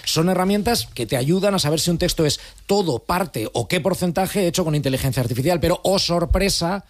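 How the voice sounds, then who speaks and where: A middle-aged man explaining a technical topic in casual radio panel talk, pausing with hesitations. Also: fairly high pitch, lively intonation. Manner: explanatory, conversational, with filler hesitations. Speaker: technology contributor.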